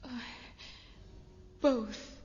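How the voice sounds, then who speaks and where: An actress playing a desperate, defiant bereaved weaver in a fantasy audio drama, speaking with slow, impassioned, formal diction. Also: female voice, mellow. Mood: subdued. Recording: dull, noisy, echoey hall with long reverb.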